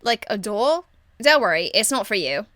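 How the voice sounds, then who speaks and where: A woman voicing a cheeky, irreverent young female rogue archer in an amateur game voice demo, blunt, slangy and excitable. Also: medium pitch, normal speed, no echo. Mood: playful, blunt.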